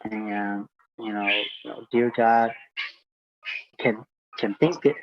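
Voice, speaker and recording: A man's voice: fairly low pitch, steady tone, slow pace, dull audio, background noise. A man from Hungary, guest on an interview, talking reflectively with many fillers and repeated words. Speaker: guest speaker. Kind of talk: casual interview talk.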